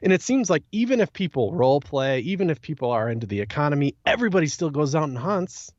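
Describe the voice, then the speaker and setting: A man's voice: fairly high pitch, sing-song, quick pace, darkish tone, no room sound, highs cut off. A man talking casually on a podcast in an informal discussion. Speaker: podcast co-host or guest. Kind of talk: casual chat.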